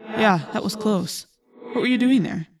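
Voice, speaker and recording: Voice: high voice, fairly even intonation, moderate pace, pitched above the performer's own voice. A narrator voicing a humble, polite young girl who is nervous but trying to be brave. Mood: relieved, concerned. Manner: meek, polite, soft-spoken.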